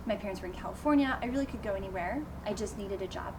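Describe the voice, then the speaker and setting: A woman's voice: mid-range voice, very fast. Woman recounting her past, noisy recording. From United States. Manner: thoughtful, with frequent ums and light laughs. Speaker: teacher, interviewee. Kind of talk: casual interview answers. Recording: noisy background ambience.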